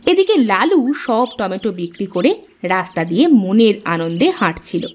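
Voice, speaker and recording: A woman's voice: medium pitch, sing-song, moderate pace, slight background noise. A woman narrator reading a scripted fairy tale for a cartoon.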